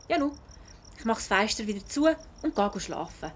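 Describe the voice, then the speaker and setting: A woman's voice: mid-range voice, moderate pace, noisy background, natural voice. A woman voicing a curious, feisty schoolgirl cat in a children's audio drama, speaking expressively with a Swiss German accent. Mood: puzzled. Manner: expressive, inquisitive.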